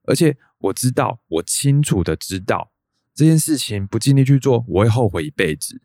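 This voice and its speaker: A man's voice: medium pitch, animated, normal speed, mellow, dry recording, studio quality, lightly acted. An actor with a Taiwanese Mandarin accent playing an earnest, determined man in his thirties in a radio drama. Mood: pleading, determined. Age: 30s. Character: earnest, determined thirty-two-year-old son chasing a dream. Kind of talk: radio drama monologue and dialogue.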